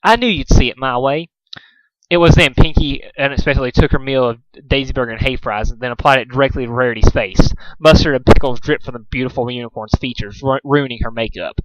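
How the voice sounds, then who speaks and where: A man's voice: medium pitch, quick pace, few pauses, no room sound, rough recording. A man reading a story aloud as an amateur narrator, stumbling over and repeating phrases now and then. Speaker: fan fiction reader, narrator.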